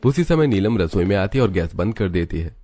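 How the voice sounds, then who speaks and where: A man's voice: low voice, very animated, a little fast, dark tone, no echo. A man narrating a scripted cartoon story.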